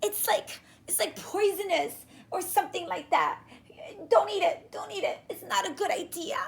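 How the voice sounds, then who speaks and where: A woman's voice: very high voice, normal speed, gravelly, pitched above the performer's own voice, poor audio. A woman voicing an anxious, dramatic young boy from a storybook, exclaiming urgently. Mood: panicked, pleading.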